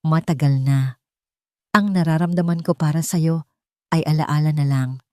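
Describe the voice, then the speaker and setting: A woman's voice: low-pitched, measured pace, a little mellow, no echo, clean studio sound. A woman storyteller voicing a powerful rich male landowner, a husband who is cold, formal, curt and firm. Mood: resolute.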